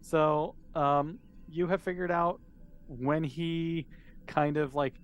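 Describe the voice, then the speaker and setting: A man's voice: a little high, steady tone, takes it slowly, clean tone. A man running a tabletop roleplaying game as game master, explaining and narrating in an informal, conversational way.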